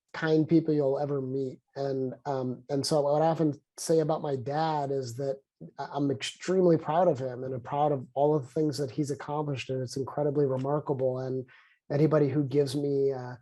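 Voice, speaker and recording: A man's voice: medium pitch, warm tone. A man with a head cold and a congested voice, speaking earnestly on a video call as he opens a webinar conversation. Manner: earnest, halting with restarts. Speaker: sociologist and conversation co-lead.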